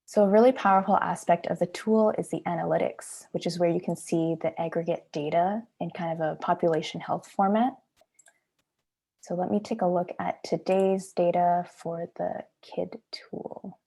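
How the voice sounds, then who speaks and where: Creaky, mellow woman giving a walkthrough. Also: lowish voice, fairly even intonation, moderate pace. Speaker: project manager demonstrating software. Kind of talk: informal walkthrough presentation.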